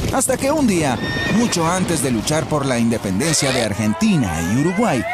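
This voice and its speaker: A man narrating a children's cartoon story in an expressive, storytelling way. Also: on the high side. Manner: expressive, storytelling delivery with repeated refrains.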